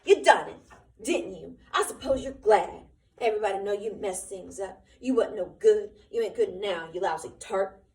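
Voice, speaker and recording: A woman reading aloud, voicing an old, crippled ranch hand who pleads and grieves in a high, shaky voice. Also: expressive, moderate pace, pauses often, dark tone. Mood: bitter. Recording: roomy, from far off.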